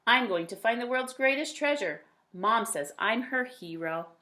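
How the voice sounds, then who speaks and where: A woman's voice: on the high side, expressive, measured pace, sharp tone, slight background noise. A woman voicing an imaginative, boastful little boy from a storybook, declaring his dress-up adventures emphatically. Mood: boastful, excited. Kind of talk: children's storybook character reading.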